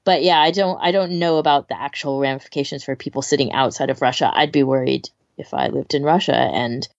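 Woman, low pitch, casual opinionated chat, dry slightly dull recording. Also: brisk, creaky, dry recording. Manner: informal, opinionated. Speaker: podcast co-host. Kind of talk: casual discussion.

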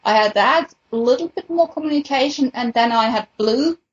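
A woman with a husky voice talking casually in a podcast conversation. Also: high-pitched, moderate pace. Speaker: podcast participant, fan event organiser. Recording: dull, lo-fi voice recording.

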